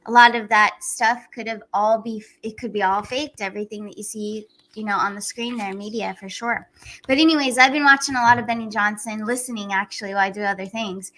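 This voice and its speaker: A woman hosting a casual livestream, chatty and excitable, laughing often as she talks to her viewers. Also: medium pitch, brisk, rough recording. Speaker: livestream host.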